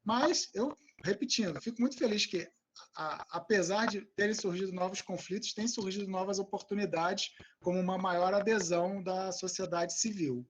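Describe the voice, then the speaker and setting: A man from Rio, answering informally and a little haltingly as an invited expert on an academic panel. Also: high-pitched, slight background noise.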